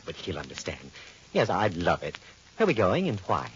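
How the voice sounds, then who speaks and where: A man's voice: low-pitched, huge pitch swings, normal speed. An actor playing a flamboyant, genteel, affectionate male friend with florid, affected delivery in old radio drama style. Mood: airy, pleased. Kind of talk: 1940s-50s radio comedy-drama acting. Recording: muffled, faintly noisy old radio recording.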